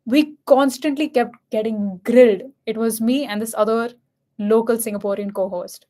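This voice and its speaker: A woman's voice: on the high side, normal speed, mellow. A young woman from India with a light Indian accent, talking in an earnest, candid and reflective casual chat. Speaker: host and MC.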